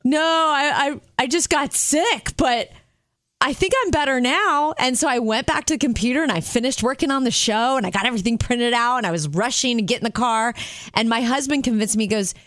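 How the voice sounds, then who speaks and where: Woman cohost on the radio telling a story, animated, does the voices, higher pitch, dry mic. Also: fairly quick, no echo. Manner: animated, acts out quotes. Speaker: radio show co-host. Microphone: studio microphone.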